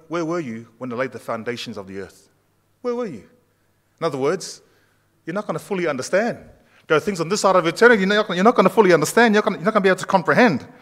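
A man's voice: a little high, fairly bright tone. A man in his thirties preaching a conversational sermon in a church sanctuary, asking the congregation questions as he goes. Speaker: pastor. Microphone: far camera at back of church.

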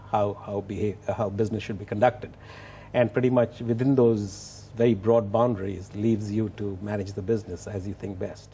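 A man in his fifties answering in a television interview, halting and measured, often restarting his phrases, on a lapel mic in a studio. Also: lowish voice, mellow, a little hiss or hum. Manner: halting, measured, with repeated starts. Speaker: business executive being interviewed.

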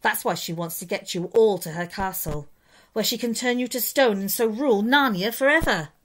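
A woman's voice: mid-range voice, normal speed, a bit bright, slight background noise, pitched above the performer's own voice, lightly acted. A woman voicing a kindly male beaver who speaks earnestly and urgently.

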